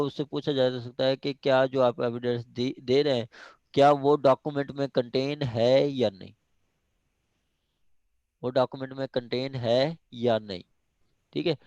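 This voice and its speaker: A man with a Pakistani accent giving an informal law lecture, explaining step by step and reading formal passages haltingly. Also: medium pitch, a little mellow, smooth, dry recording, lo-fi sound. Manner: switches between reading formal English haltingly and explaining conversationally. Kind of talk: informal lecture explaining a legal text clause by clause.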